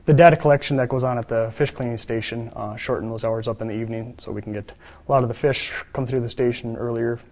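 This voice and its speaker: Matter-of-fact male biologist, mid pitch. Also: steady tone, fast talker, darkish tone. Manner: plain, matter-of-fact, with many filler uhs. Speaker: fisheries biologist. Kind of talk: interview answers. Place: studio, seated.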